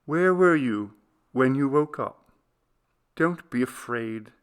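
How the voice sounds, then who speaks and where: A man's voice: medium pitch, lively intonation, slow pace, smooth. A man voicing an anxious, tender woman in a ghost story audiobook, urgent and pleading. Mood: tender coaxing.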